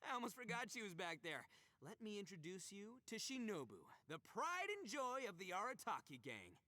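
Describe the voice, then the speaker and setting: A boastful, goofy young gang boss in an anime game. His bright, forceful voice swings widely as he brags and blusters theatrically, recorded very dry. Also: high voice, wildly swooping pitch, moderate pace, dry recording, exaggerated voice. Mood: proud, bragging. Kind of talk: anime video game voice acting.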